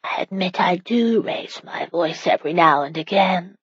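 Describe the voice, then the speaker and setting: Casting: anxious young medieval wife played by a female narrator, emotional and stubborn, husky breathy voice, dry muffled audiobook sound. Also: medium pitch, measured pace, a little mellow, no echo, voice pushed up. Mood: timid, defensive.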